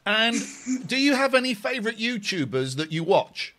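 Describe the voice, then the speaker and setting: A man's voice: high voice, sing-song, normal speed, bright, forceful tone. A middle-aged man with an English accent, chatting casually as host of a live phone-in stream. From England. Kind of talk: casual live chat with callers.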